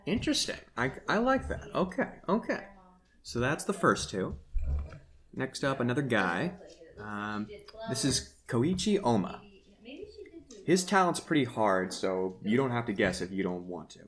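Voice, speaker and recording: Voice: medium pitch, lively intonation, measured pace. A host chatting casually and unscripted, prompting others with questions while presenting things for them to react to. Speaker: host showing characters and asking for guesses.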